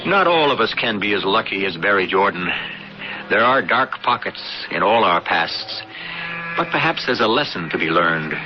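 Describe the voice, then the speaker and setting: A man hosting a radio mystery, narrating a script in a measured, theatrical, sententious way. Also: fairly low pitch, sing-song. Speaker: host and narrator. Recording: muffled old radio broadcast. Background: loud-ish music.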